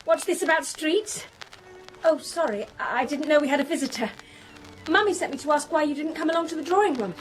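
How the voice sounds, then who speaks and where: Woman acting a high-voiced, sensitive young daughter. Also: brisk, lightly acted, low-quality recording. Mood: curious. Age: young adult. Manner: earnest, questioning. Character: sensitive, curious young upper-class daughter, easily moved. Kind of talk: radio drama acting. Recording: music under the voice.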